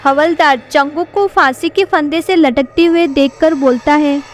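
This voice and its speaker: A woman's voice: high voice, a little slow, a little hiss or hum. A woman narrating a scripted horror story. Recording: background music.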